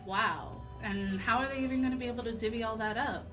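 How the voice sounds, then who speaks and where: A woman's voice: on the high side, fast talker, fairly bright tone, soft background music. An American woman co-hosting a live talk radio show. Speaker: radio co-host.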